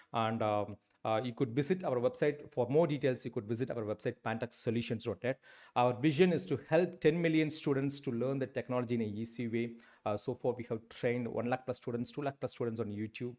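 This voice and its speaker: A middle-pitched man in his forties speaks with an Indian English accent as he teaches a live online session. He talks into a close desk mic and stumbles often, with many fillers and restarts. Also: darkish tone. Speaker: instructor. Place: indoor room, webcam.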